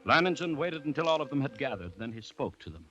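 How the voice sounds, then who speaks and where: Anxious commissioner, 1940s radio narration, measured and dramatic, medium pitch, clear musical backing. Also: male voice, big pitch swings, a bit bright, muffled recording, faint music behind. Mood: tense narration. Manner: dramatic, measured, building to dread. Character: anxious male government commissioner recounting a dreadful siege. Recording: restored 1940s radio broadcast.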